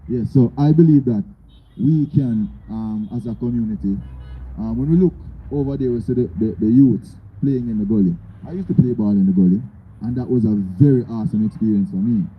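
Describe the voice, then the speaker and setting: A man's voice: mid-range voice, fairly quick, phone-quality sound. A young man with a Jamaican accent and a strong voice gives an earnest, rallying speech to an outdoor community meeting, often tagging his points with "right?". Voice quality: strong, loud voice. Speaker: community organizer. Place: outdoors by a road. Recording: loud traffic noise behind. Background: passing cars.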